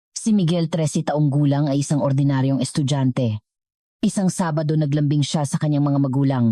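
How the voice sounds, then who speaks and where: A woman with a low, mellow voice, reading a scripted dramatic story as narrator. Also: dry recording, clean studio sound.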